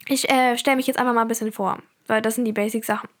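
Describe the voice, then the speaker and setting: A woman's voice: fairly high pitch, fairly even intonation, fast talker. A teenage girl from Germany hosting her own podcast, telling about her week in a chatty, rambling way with frequent fillers. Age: teens. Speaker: podcast host. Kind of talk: casual personal podcast chat.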